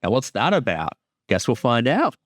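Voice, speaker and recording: A man's voice: mid-range voice, huge pitch swings, moderate pace, soft background music. A man chatting casually as a creator commenting on his show, often doubling back on his opening words. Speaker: creator giving episode commentary.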